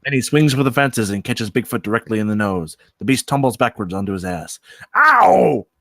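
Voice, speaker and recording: A man's voice: on the low side, fast, no echo. A man reading stage directions as scripted narration at a comedy screenplay table read.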